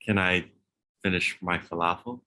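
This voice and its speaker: A man's voice: deep voice, normal speed, pushed and bright. A man playing a laid-back, mildly exasperated forensic evidence technician in a comedy table read, dry and a little hesitant. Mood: hesitant, easygoing.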